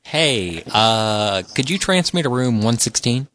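A man's voice: medium pitch, lively intonation, measured pace, fairly bright tone. A man making crude, pushy prank calls to hotel front desks and chatting casually with a friend. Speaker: prank caller. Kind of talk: casual prank call chat.

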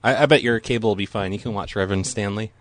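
A man's voice: fairly low pitch, fast talker, no room sound. A man making a prank phone call, playful and teasing, keeping up a mock-earnest act. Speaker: prank caller.

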